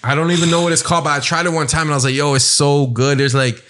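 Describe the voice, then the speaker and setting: A young man hosting a podcast interview, talking in a relaxed, slangy way with lots of fillers. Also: on the high side, racing. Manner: relaxed, loose slang with frequent "like" and "you know what I'm saying". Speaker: podcast host. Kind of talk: casual chat.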